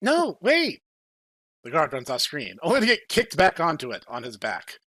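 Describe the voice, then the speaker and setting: A man's voice: mid-range voice, very animated, brisk, pushed and bright, no echo, clean studio sound. A man narrating a video game story as a podcast host, in a playful scripted style.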